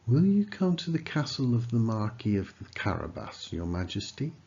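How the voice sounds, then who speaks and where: A man's voice: mid-range voice, big pitch swings, moderate pace, a little mellow, lo-fi sound, pitched above the performer's own voice. A man voicing a clever talking cat in a children's storybook, eager and courtly, with coaxing, deferential persuasion. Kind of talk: children's storybook character reading.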